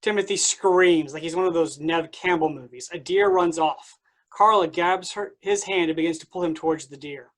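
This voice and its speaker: A reader delivers stage directions for a play reading. The voice is of middling pitch, in a scripted narrating style. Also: moderate pace.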